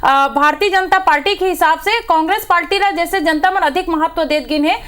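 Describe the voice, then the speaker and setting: Very high-pitched argumentative woman, Chhattisgarh accent. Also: a little fast, fairly bright tone. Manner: argumentative, rhetorical. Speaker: political party spokesperson. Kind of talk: political TV debate.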